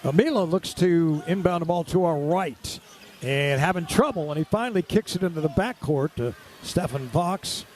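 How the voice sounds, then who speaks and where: A man's voice: on the high side, expressive, moderate pace, a little mellow, a little hiss or hum. A man doing live radio-style basketball play-by-play in clipped, vivid running descriptions.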